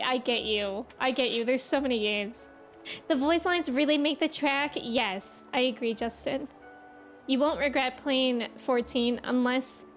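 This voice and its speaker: A woman's voice: high voice, a bit bright. A woman game streamer chatting casually and appreciatively with her viewers in an informal way. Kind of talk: casual livestream chat with viewers. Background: game music.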